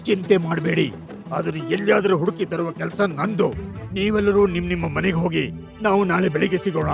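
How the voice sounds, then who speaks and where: A man voicing a commanding, reassuring lion king of the forest in an animated animal story, with a gravelly voice. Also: high voice, brisk, over music. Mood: reassuring, persuasive.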